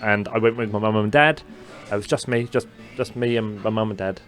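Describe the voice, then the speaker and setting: A young man with a mild stutter who co-hosts a podcast, telling a personal story in casual chat with many fillers and halting restarts. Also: on the low side, fairly even intonation, faint music behind. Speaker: podcast co-host.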